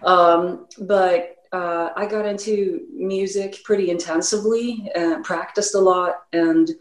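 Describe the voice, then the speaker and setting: Musician guest: a Swedish-accented woman with a lower voice, chatty and loose, recorded in a roomy space. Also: steady tone, slow. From Sweden. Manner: rambling, many fillers and restarts. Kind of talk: casual interview talk.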